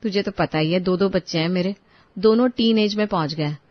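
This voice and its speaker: A woman voicing a worried middle-class mother in an animated story, talking in an anxious, fretful way. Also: lowish voice, fairly quick, no echo, close to an everyday voice. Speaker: voice actor. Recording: dull, dry studio recording.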